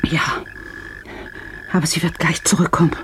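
An older actress playing a frightened old woman held hostage in a German radio play, pleading and halting. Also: deep voice, little pitch movement, soft background music, close to an everyday voice. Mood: anxious, pleading.

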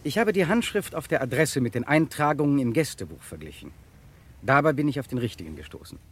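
An actor playing a composed, wry police detective in a 1960s German radio crime drama. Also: male voice, mid-range voice, big pitch swings, fast, a bit bright, slightly rough, full character voice. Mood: calm, wry. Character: avuncular, composed male police detective lead. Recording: faintly noisy 1960s radio recording.

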